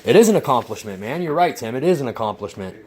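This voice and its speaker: A man vlogging casually about his model plane build, rambling and swearing with excitement. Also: mid-range voice, quick pace, husky. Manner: rambling, profane, enthusiastic. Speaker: RC hobby vlogger. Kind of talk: casual workshop vlog.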